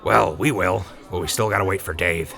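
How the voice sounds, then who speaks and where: A man's voice: low-pitched, normal speed, creaky, pushed and bright, soft background music, full character voice. A man voicing a blunt livestock hand who swears casually and teases his friends in an audio drama. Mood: wry. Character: crude, blunt livestock hand, gruff and teasing.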